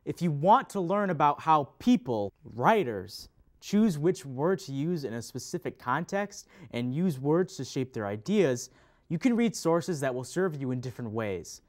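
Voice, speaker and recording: A pedantic male teacher acted by a man with a fairly high, very animated voice. He stresses key words emphatically and explains in a didactic manner, as in a scripted educational play. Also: measured pace. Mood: instructive. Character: pedantic male teacher patiently correcting a student. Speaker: readers theater performer. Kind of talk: scripted instructional stage dialogue.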